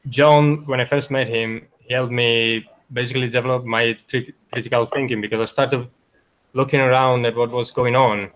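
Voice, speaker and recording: A man making a documentary, speaking earnestly and in a measured way as he responds to his interview guest. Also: medium pitch, fairly even intonation, a bit bright, rough recording. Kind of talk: interview remarks.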